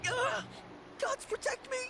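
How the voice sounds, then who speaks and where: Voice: very high voice, steady tone, a little slow, gravelly, over music, heavily acted. An actor voicing a timid young male funeral worker in a video game, stammering, fearful and pleading. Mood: terrified. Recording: noisy game audio on livestream.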